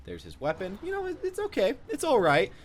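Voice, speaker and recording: High-pitched, animated young man chattering. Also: brisk, slight background noise. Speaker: gaming video host. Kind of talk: casual off-the-cuff video commentary.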